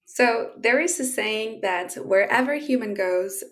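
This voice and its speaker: A woman's voice: fairly high pitch, unhurried, fairly bright tone. A woman from Chile, a podcast guest explaining her field in a friendly way, with plenty of fillers. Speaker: guest, space lawyer. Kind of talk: conversational podcast interview.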